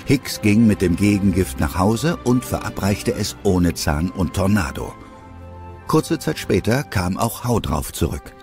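A man's voice: deep voice, expressive, measured pace, darkish tone, faint music behind. A man narrating a scripted children's adventure story as its narrator.

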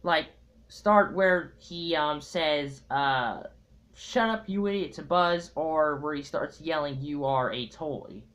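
A young man talking casually to viewers in a halting, hesitant way, recorded at home on a tablet's built-in mic. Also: fairly high pitch, fairly bright tone. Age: young adult. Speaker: amateur fandub video maker. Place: small room at home. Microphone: tablet built-in mic at arm's length.

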